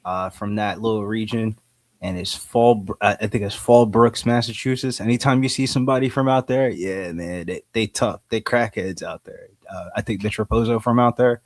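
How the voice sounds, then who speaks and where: A man's voice: lowish voice, moderate pace, no echo. A man co-hosting a live fight watch-along, talking in loose, slangy banter. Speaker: co-host, fight commentator.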